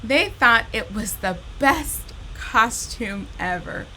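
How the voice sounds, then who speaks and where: Bright-voiced, unhurried woman reading to children. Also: fairly high pitch, slight background noise, low-quality recording. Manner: addresses young listeners directly, unhurried and animated. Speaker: storytime host reading a children's picture book. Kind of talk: expressive children's story reading.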